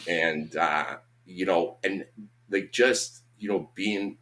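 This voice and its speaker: A man's voice: low-pitched, takes it slowly, fairly bright tone. A man in his fifties with a Boston accent, a podcast guest talking casually. He rambles and swears freely, and he keeps saying "you know what I'm saying". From Boston, USA. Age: 50s. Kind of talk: casual conversation.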